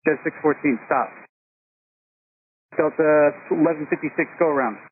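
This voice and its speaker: A man's voice: fairly high pitch, moderate pace. A man working as an air traffic tower controller, giving clipped instructions over aviation radio. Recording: tinny narrowband radio with faint static.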